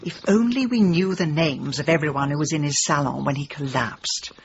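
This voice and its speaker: An actress playing a nosy, brash middle-aged British woman amateur detective in a radio drama, brisk and emphatic. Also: low-pitched, lo-fi sound, a little hiss or hum. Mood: speculating. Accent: British English.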